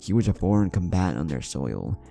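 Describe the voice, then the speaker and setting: Man, very low pitch, dark mellow tone, dramatic story narration, music underneath. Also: very animated, a little fast, creaky. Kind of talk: dramatic scripted fan fiction narration.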